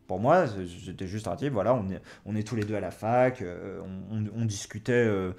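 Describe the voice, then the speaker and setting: A man's voice: lowish voice, moderate pace, creaky, darkish tone. Young man chatting casually, hesitant delivery. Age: 20s. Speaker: host, experienced game master giving advice. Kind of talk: casual chat, advice to beginners.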